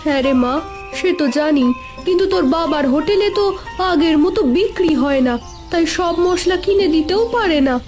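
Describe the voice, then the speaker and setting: A woman's voice: very high voice, a little fast, darkish tone, faint music behind. A woman playing a concerned village mother of a young girl in a cartoon. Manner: explaining, concerned. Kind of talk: cartoon voice acting.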